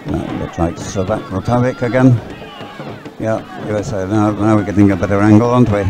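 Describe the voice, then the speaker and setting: A man giving loose, halting live race commentary outdoors, often rambling and thinking aloud. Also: fairly low pitch. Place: outdoors beside a race course. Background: music, loudspeakers at a sports venue.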